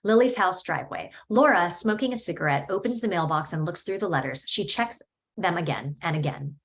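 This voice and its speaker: Low-voiced woman reading stage directions. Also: fairly quick. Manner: steady, descriptive. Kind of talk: table read of a screenplay.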